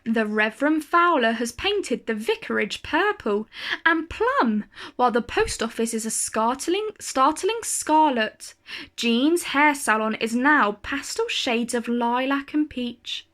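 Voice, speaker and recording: A woman reading a children's picture book aloud as a storyteller, with deliberate phrasing and dramatic emphasis. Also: on the high side, fairly bright tone.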